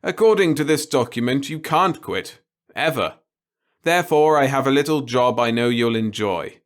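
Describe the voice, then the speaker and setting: Give the voice of a tyrannical old male boss from a sci-fi audiobook, acted out by a male narrator. He pitches his speech up and bears down on each phrase. He gloats and lectures with open glee, browbeating whoever has to listen. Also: animated, normal speed, natural voice. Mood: gleeful.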